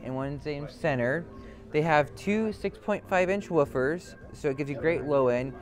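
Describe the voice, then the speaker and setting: Higher-pitched man giving a product demo over music. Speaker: product demonstrator for an audio brand. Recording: loud music behind the voice. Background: loud music.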